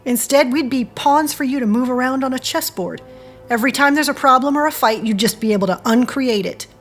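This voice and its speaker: A woman's voice: sits high, a little fast, soft background music. A woman playing an earnest, principled friend in an audio drama, reasoning and pleading firmly. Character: earnest woman, a firm moral voice to her friend.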